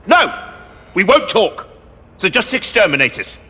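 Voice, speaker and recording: An actor playing a brave, hot-headed soldier in a science fiction audio drama, with a forceful, dramatic delivery. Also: male voice, high voice, sing-song, a little slow, background noise. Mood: defiant. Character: brave, hot-headed male soldier, defiant under capture.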